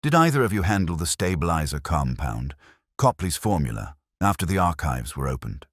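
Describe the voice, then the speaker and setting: A man as a calm, steady police inspector. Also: extremely low pitch, normal speed, no room sound, studio quality, full character voice. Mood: probing. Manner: calm, steady, quietly probing.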